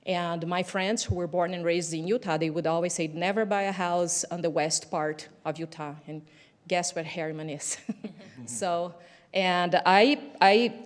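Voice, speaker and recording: A woman with a Brazilian accent, a native Portuguese speaker, giving a halting public comment as a teacher. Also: lowish voice. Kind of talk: public comment speech at a council meeting.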